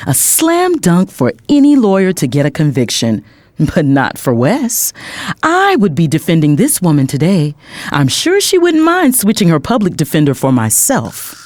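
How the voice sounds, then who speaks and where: A woman playing an indignant lawyer who narrates her own story in the first person, dramatic and expressive, with faint background noise. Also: low-pitched, no room sound, full character voice. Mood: cool, resolved.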